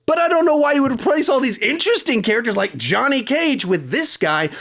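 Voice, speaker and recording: A man in his thirties hosting a ranked movie review, talking in a lively way with sudden jumps in pitch for emphasis. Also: a little fast, vocal fry.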